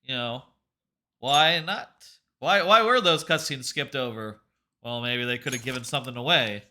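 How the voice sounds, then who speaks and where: A man's voice: medium pitch, moderate pace, pushed and bright. A man streaming a game with casual, rambling, wry playthrough commentary. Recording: game music behind voice.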